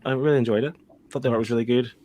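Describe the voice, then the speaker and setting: A man's voice: medium pitch, steady tone, rapid-fire, warm tone. A man on a book club panel giving a casual review, tentative and thinking aloud as he goes.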